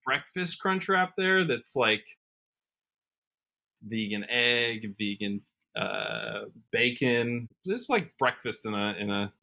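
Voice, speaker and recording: Bright-voiced young American man chatting. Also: mid-range voice, animated, slow, vocal fry. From Washington state, United States. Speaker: podcast guest, country musician. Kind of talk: casual interview chat.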